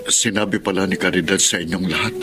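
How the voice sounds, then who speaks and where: A man's voice: sits low, steady tone, fast talker, noisy background, lightly acted. An actor playing a guilt-ridden, respectful family man in a Filipino radio drama, with a Filipino accent. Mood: uneasy. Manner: hesitant, respectful, pleading. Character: conscience-stricken, submissive working-class father.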